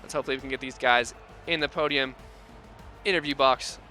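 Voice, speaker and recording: A man's voice: mid-range voice, fairly even intonation, fairly quick, pushed and bright, soft background music. A man calling the results of a sim racing event as host, upbeat and folksy, with a sore, strained voice. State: voice sore, losing his voice. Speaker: race commentator and host.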